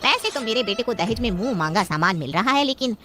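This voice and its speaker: A woman's voice: on the high side, lively intonation, fast talker, over music, close to an everyday voice. A woman voicing a boastful, greedy parent of a groom in a cartoon story, haggling with self-importance. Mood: smug, bargaining.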